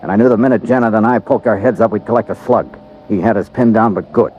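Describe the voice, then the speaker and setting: Low-voiced, hard-boiled American investigator played by an actor. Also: male voice, steady tone, fast, darkish tone, close to an everyday voice. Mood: tense narration. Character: tough, level-headed male private investigator narrating his case. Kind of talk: 1950s radio drama acting and first-person narration. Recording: muffled, hissy vintage radio recording.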